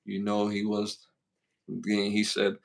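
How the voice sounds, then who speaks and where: A man's voice: lowish voice, monotone, a little slow, gravelly. A man with a Brooklyn accent co-hosting a casual podcast, slangy and repeating himself as he reads out list questions. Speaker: podcast co-host.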